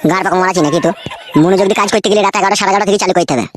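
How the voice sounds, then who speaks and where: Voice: mid-range voice, very fast, lightly acted. A performer voicing a crude, boastful, bossy village husband in a comedy cartoon, with coarse bantering talk. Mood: coarse, irritated.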